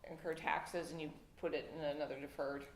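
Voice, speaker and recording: A woman on a finance panel who explains things in a measured way. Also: low voice, flat delivery. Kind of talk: unscripted panel discussion. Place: event hall with audience. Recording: echoey room.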